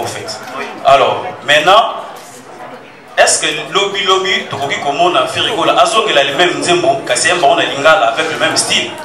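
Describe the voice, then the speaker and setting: A man asking questions as a journalist at a press conference, pausing to explain his terms. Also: a little high. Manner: explains terms, mixes French and Lingala. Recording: echoey room, distant mic, crowd noise.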